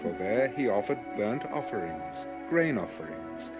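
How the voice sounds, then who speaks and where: A man narrating scripture for an audio Bible in a measured, formal, scripted style. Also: lowish voice, sing-song. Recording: music under the voice. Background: music.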